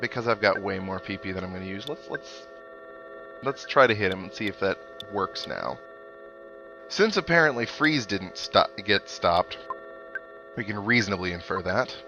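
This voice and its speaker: A man's voice: on the low side, expressive, normal speed, pushed and bright. Man casually thinking aloud over game music. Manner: thinks aloud, analytical asides. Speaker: let's player. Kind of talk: casual video game commentary. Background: video game music and sound effects.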